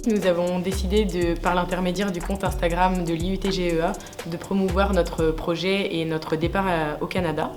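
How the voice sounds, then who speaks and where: A young woman from France calmly presents a student project, with plenty of fillers. Her voice sits low for a woman, and music plays clearly under her. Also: moderate pace. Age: young adult. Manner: steady, with frequent fillers. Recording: music playing behind.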